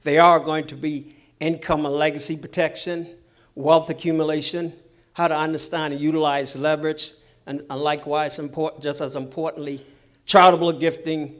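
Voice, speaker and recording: Middle-aged bahamian guy, finance seminar talk, a bit higher voice, steady and businesslike. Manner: measured, businesslike, checks in with "okay?". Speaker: financial advisor presenting at a church seminar. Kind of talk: seminar presentation.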